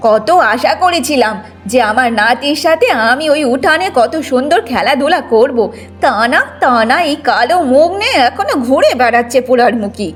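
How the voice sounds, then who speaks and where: A woman's voice: high voice, lively intonation, moderate pace, faint music behind. A woman voicing a bitter, domineering old village mother-in-law in a cartoon moral story. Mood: bitter complaining. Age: older.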